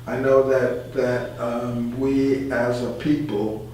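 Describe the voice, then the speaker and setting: A middle-aged man speaking as a guest in a radio talk show discussion, with halting, deliberate phrasing. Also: mid-range voice, little pitch movement, a little mellow. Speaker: community board member and guest. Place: echoey indoor room. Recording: very echoey room, distant mic, faint hum.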